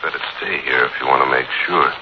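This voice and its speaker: A man's voice: extremely low pitch, quick pace. An actor playing a menacing, wounded ex-convict gunman with a curt, sneering manner in a 1940s radio thriller. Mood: smug menace. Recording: muffled, noisy 1940s radio recording.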